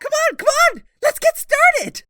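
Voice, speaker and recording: A woman voicing an excitable, earnest little Pomeranian spirit, fiercely loyal, in a game fandub. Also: very high voice, unhurried, no room sound, full character voice. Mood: eager. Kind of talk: animated game fandub acting.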